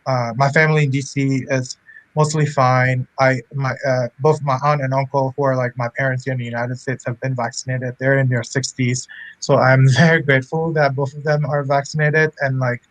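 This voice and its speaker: A man's voice: medium pitch, dry recording, rough recording. A young man from Cameroon, a medical student guest, chatting casually over a video call with many fillers. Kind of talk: casual interview talk.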